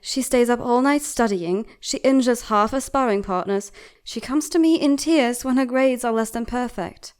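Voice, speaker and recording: A woman's voice: a little high, normal speed, clean tone, natural voice. A woman playing an outspoken, protective bird doctor at an academy in a comic fandub, articulate, insistent and reproachful. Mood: exasperated. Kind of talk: dramatic comic fandub acting.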